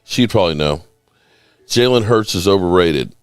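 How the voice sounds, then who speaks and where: A low-pitched man hosts a laid-back sports show with a sore, hoarse voice that he keeps clearing. The sound is close and dry, with no room around it. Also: animated, moderate pace, no echo. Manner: coughing and clearing throat. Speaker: sports podcast host. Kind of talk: casual sports talk.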